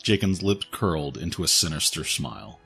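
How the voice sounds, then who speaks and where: A man's voice: a bass voice, sing-song, a little fast, vocal fry, pushed and bright, husky. A man narrating a scripted dramatic story as its storyteller. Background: faint background music.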